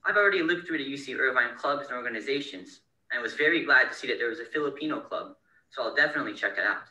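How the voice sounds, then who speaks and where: Teen male student reading aloud earnestly; bright tone, echoey room. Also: mid-range voice, fast. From California, United States. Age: teens. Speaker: scholarship winner. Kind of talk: reading a prepared essay. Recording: bright, roomy, distant recording.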